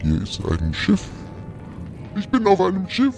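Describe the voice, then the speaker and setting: A man voicing an excitable, forgetful ghost just freed from a bottle, exclaiming and repeating phrases in wonder. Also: high-pitched, a little slow, soft background music, exaggerated voice. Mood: excited, bewildered.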